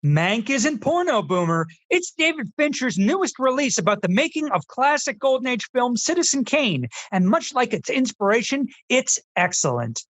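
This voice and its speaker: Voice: medium pitch, big pitch swings, normal speed, few pauses, no echo. An actor playing a sarcastic, know-it-all film critic in their twenties in a scripted comedy radio skit. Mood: exasperated. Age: 20s. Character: snarky, earnest twenty-year-old Zoomer film critic.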